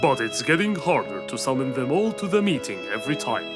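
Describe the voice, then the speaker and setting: A man's voice: medium pitch, big pitch swings, brisk, natural voice. A man playing a genial, courteous lion king in a children's cartoon, regal and friendly. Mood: concerned, gracious. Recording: music behind the voice.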